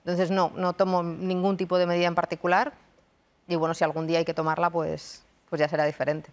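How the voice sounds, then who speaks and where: A woman from Madrid, a university researcher and lecturer in her thirties, giving measured, reflective answers in a TV studio interview. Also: on the low side, little pitch movement. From Madrid, Spain. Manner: thoughtful, measured, frequent restarts. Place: television studio.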